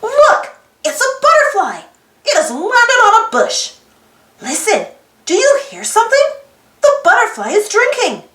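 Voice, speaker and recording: Very high female voice, children's read-aloud, sing-song delivery, hugely varied intonation, roomy echo. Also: unhurried. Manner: expressive, sing-song, with simple questions to young listeners. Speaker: storybook reader.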